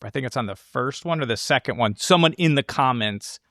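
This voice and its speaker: A man with a mid-pitched voice in a dry, close recording. He talks casually about film as a podcast co-host, working through explanations aloud with stops and restarts. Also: expressive, brisk, a bit bright, no room sound.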